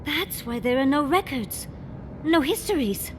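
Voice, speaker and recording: High-voiced, curious scholar adventurer. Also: female voice, animated, a little slow, noisy background. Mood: intrigued. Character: curious, eager young woman scholar adventurer with grimoire. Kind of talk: naturalistic fantasy video game acting. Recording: game music under the voice.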